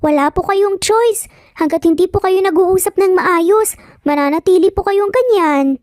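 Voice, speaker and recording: A woman's voice: extremely high pitch, moderate pace, a little mellow, no room sound, clean studio sound, pitched above the performer's own voice. A woman voicing a stubborn, cute little boy in a dramatized story, speaking in an insistent, scolding but polite childlike way. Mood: firm, bossy.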